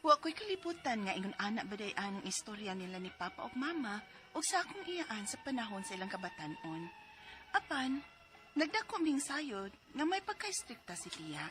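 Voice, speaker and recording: Cebuano lady in her 40s narrating her own story, a bit high, earnest. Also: very animated, normal speed, over music. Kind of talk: dramatized letter narration.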